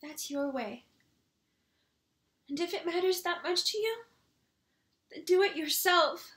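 A woman's voice: very high voice, lively intonation, slow, a bit bright, full character voice. A woman playing a hurt, exasperated grown daughter confronting her father in an emotional dramatic monologue, with pleading, indignant emphasis. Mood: defiant, irritated. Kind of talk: emotional acted monologue, self-taped audition. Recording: echoey room.

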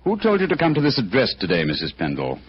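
An actor playing an exacting English gentleman detective in a radio drama, incisive and articulate. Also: fairly low pitch, huge pitch swings, fast talker, slightly rough, full character voice. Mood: commanding. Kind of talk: old-time radio drama acting. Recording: dull, hissy old radio recording.

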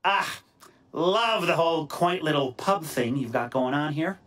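A man's voice: on the high side, expressive, measured pace, fairly bright tone. An actor playing a wisecracking, cocky masked male hacker, with playful, theatrical delivery in game cutscene banter. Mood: gleeful, cheeky. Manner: playful, theatrical, mock-dramatic, sarcastic.

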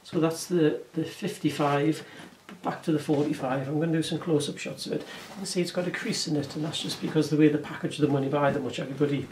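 A man in his forties with an English accent, chatting casually through a bag review, hoarse from a sore throat and often correcting himself. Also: on the high side, steady tone, quick pace, hardly any pauses, darkish tone, roomy sound, distant mic, a little hiss or hum, poor audio. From England. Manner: rambling, self-correcting, chatty. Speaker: vlogger reviewing bags. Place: room indoors, curtain backdrop.

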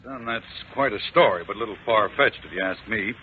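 An actor playing a patronizing, folksy, scheming space-plant manager in 1950s radio style. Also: male voice, fairly low pitch, fairly quick, lightly acted. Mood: dismissive. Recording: tinny, noisy 1950s radio recording.

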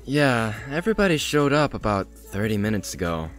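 A man playing a young male vampire, hot-tempered yet tender, in an animated roleplay drama. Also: fairly low pitch, moderate pace, soft background music. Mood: gentle, concerned.

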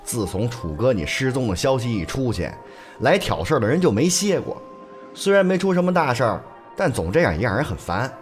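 A man's voice: mid-range voice, very animated, a little fast, faint music behind, natural voice. A man playing a loyal young gang underling in an audio drama, reporting to his boss in a grumbling way. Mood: annoyed. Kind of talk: Chinese audio drama acting.

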